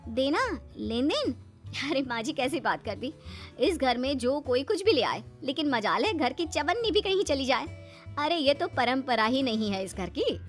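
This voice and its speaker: A woman's voice: high voice, big pitch swings, normal speed, soft background music. A woman voicing an exasperated young daughter-in-law in a comedy cartoon, pleading and protesting. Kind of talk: Hindi comedy cartoon story acting.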